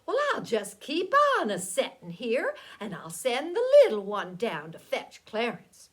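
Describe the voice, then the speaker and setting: A woman's voice: fairly high pitch, very animated, measured pace. A woman voicing an amused, bossy farmer father with a rural Southern US accent and a commanding drawl. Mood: amused, firm.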